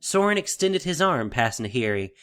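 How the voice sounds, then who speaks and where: A man narrating a fantasy audio drama in a deliberate, theatrical storytelling style. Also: medium pitch, very animated, fairly bright tone. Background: music.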